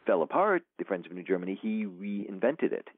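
A man's voice: on the low side, sing-song, fairly quick, no room sound. A man, an author being interviewed, talking casually and rambling with many fillers and restarts. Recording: tinny phone line.

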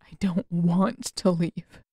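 A woman voicing an anguished, lonely teenage boy in an audio story, speaking in a halting, wounded way. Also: on the low side, fairly even intonation, warm tone, husky, no room sound, studio quality, exaggerated voice. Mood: reluctant, sad.

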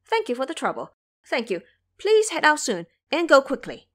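Woman as monkey sage, male, brash, boastful, emphatic, raised pitch, audio drama. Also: huge pitch swings, moderate pace. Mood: urgent, grateful. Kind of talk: audio drama character acting.

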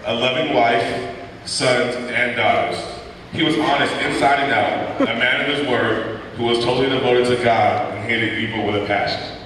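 A man's voice: medium pitch, a little hiss or hum. Young man narrating in an echoey church. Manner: steady storytelling read-aloud, voicing quoted lines plainly. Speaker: skit narrator. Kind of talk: narration read over a church skit. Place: large church sanctuary. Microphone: stand mic at pulpit, heard from far off. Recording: echoey church hall from far off.

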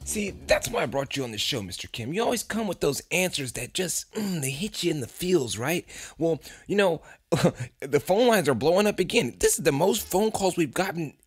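A man's voice: a little high, very animated, normal speed, few pauses, husky, no room sound, soft background music. A man hosting a comedic radio show with casual banter.

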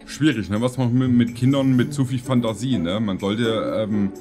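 A man in his thirties hosting a reaction video, commenting casually and jokingly in a halting, deadpan way. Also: mid-range voice, music in the background. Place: small indoor streaming room.

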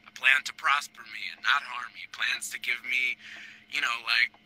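An actor playing a lonely, earnest, hopeful helper in a game, halting and wistful. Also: male voice, medium pitch, level tone, pushed and bright, a little hiss or hum, low-quality recording. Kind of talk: VR game acting.

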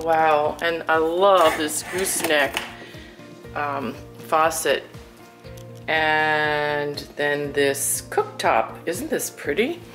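Lady realtor showing a house, low-ish voice, takes her time, gushing a bit, music behind. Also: fairly bright tone. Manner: admiring, exclamatory. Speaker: realtor showing a home for sale. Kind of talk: casual walkthrough home tour narration. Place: indoors, inside a furnished house.

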